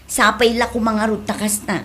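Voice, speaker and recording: A woman's voice: a little high, level tone, normal speed, a bit bright, slight background noise, natural voice. A woman acting a gossipy village neighbour in an Ilocano comedy radio drama, chattily passing on news. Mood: gossipy, concerned.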